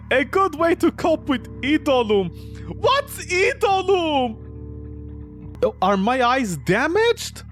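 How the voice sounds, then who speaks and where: A game streamer reading a visual novel aloud and reacting to it in an exasperated, incredulous, theatrical way. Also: medium pitch. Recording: background music under the voice. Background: music.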